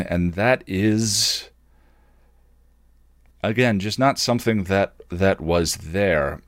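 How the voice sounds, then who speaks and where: A man hosting a solo podcast, talking casually and reflectively in a halting, self-questioning way. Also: on the low side, no echo, clean studio sound. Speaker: podcast host and video creator.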